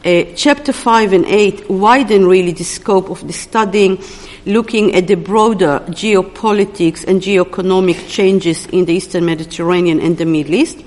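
A woman presenting an academic book at a conference, speaking in a halting way and often searching for words mid-sentence. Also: mid-range voice, darkish tone. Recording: long reverb of a large hall.

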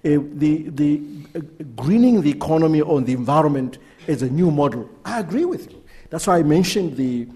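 A middle-aged man giving a talk and answering questions, halting often and repeating words. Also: fairly high pitch, lively intonation, dark tone. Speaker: guest speaker. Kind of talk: lecture and Q&A answers.

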